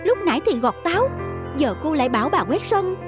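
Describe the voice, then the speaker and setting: Brief: polite, eager cartoon student girl, high voice, music underneath. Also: big pitch swings, fairly quick. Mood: puzzled, concerned. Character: eager, polite young photography students visiting their teacher. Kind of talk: animated moral-tale dubbing. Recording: clear music behind the voice.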